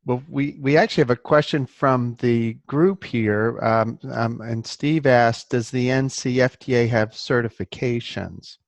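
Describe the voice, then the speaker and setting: A man's voice: medium pitch, steady tone, measured pace, hardly any pauses, no room sound. A man hosting a webinar on a video call, relaying audience questions. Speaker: webinar host. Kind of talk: moderating questions.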